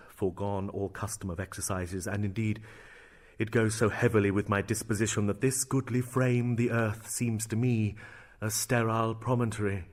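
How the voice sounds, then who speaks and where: An actor playing a brooding, sardonic young prince who feigns madness, in theatrical and measured Shakespearean delivery with hushed asides. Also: male voice, on the low side, close to an everyday voice. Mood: melancholy. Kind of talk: Shakespearean stage acting in verse and prose.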